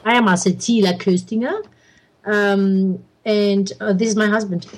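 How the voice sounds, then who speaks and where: A woman's voice: mid-range voice, steady tone, unhurried. A woman from Albania, a guest in a casual interview, who laughs a lot and often restarts her sentences.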